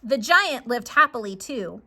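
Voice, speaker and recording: A woman narrating a scripted children's story in a dramatic, expressive way. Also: a little high, a little slow, slight background noise.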